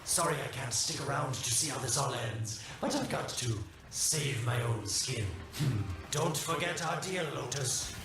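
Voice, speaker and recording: A sly schemer from a video game, heard in heavy echo at a distance. He is mocking and theatrical, insinuating every point, at a medium pitch. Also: lively intonation, a little slow. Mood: taunting. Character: sly, taunting, self-serving male schemer. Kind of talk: dramatic video game acting. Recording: echoey, distant, music behind.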